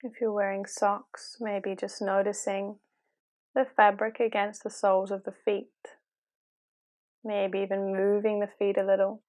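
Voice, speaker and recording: A woman speaks as a meditation guide at a medium pitch. Her delivery is calm and gentle, giving soft instructions without any hurry and leaving long pauses between phrases.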